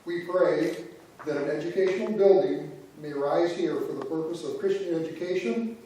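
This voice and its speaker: A formal, prayerful minister leads a ceremony, read by a man in a reader's theater. His mellow voice is heard from a distance in a reverberant church, with a faint background hum. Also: a little high, moderate pace, natural voice. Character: male minister leading a groundbreaking prayer. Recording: echoey church sanctuary, distant mic.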